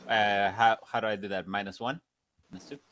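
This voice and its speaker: A man with a somewhat low voice plays in a tabletop game. He chats loosely and asks questions in an unsure way. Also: fairly even intonation, a little fast, fairly bright tone. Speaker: player. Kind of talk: casual tabletop play.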